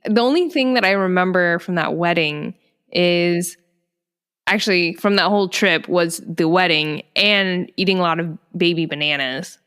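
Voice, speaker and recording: A woman's voice: lowish voice, moderate pace, a bit bright, clean tone, big-room sound. A young adult woman co-hosting a podcast, chatting casually and laughing at herself. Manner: giggly, self-amused. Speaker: podcast co-host. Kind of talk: casual chat.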